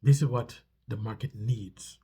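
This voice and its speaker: A man speaks in a strongly husky, congested voice with a dark, relaxed tone. He explains things casually to his viewers as a vlog host. He often repeats short phrases to stress a point. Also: lowish voice, a little slow. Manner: conversational, repeats phrases for emphasis. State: stuffy nose from a cold.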